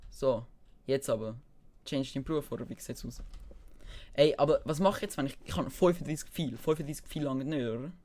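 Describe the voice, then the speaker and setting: A young male streamer speaks with a Swiss German accent in a medium-pitched voice. His delivery is casual gaming livestream chat, peppered with English gamer slang as he talks to his viewers. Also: brisk, darkish tone. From German-speaking Switzerland. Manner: slangy, mixing English gaming terms into speech. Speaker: live streamer. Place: indoors at a computer, keyboard typing behind.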